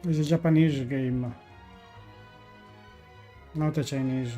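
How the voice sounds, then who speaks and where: A man's voice: fairly high pitch, fairly even intonation, slow pace, mellow, faint music behind. A man giving casual live-stream commentary on games, hesitant and searching for words in non-native English. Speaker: game streamer. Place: indoors, live stream over game music.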